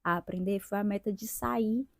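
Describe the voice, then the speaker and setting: A woman in her twenties from Brazil, an English teacher and linguist, talking about her own experience in a reflective vlog with pauses and restarts. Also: mid-range voice, creaky, dry recording, studio quality. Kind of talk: casual personal vlog.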